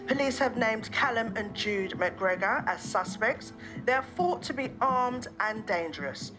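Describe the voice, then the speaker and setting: Formal drama newsreader, bit high, clear music bed. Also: female voice, lively intonation, low-quality recording. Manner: formal, measured delivery. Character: crisp female TV newsreader. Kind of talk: scripted news bulletin acting.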